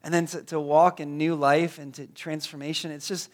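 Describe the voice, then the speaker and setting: A hoarse, raspy-voiced man in his thirties, a pastor praying and then preaching, who often stumbles and repeats words. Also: on the high side, little pitch movement, normal speed. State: hoarse from a cold. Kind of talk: prayer and sermon.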